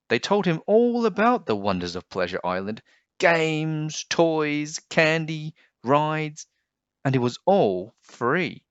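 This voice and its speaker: A man reading a children's storybook aloud as an expressive narrator. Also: mid-range voice, no echo, lo-fi sound.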